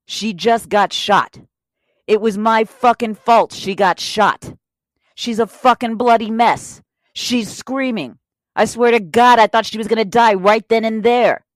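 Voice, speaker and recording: A woman's voice: medium pitch, no room sound, close to an everyday voice. A woman playing a loyal, hot-tempered robber wracked with guilt in an audio drama, speaking in a blunt, earnest, profane way. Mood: distraught, defensive. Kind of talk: audio drama crime acting.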